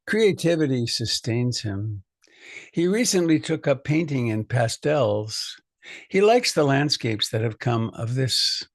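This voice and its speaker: A man, a meditation teacher, reading a reflective poem aloud in a measured, deliberate way. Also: mid-range voice, gravelly, no room sound.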